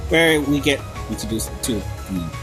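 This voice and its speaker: A man's voice: mid-range voice, animated, unhurried. A man giving informal video review commentary in a sarcastic, casually sweary manner. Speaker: video essayist. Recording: background music under voice. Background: music.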